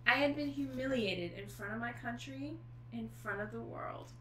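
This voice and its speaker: A woman author speaking as a guest, with an expressive, informal delivery for reading aloud and chatting. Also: a little high, normal speed. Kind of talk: expressive book reading and casual chat. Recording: echoey room with faint noise.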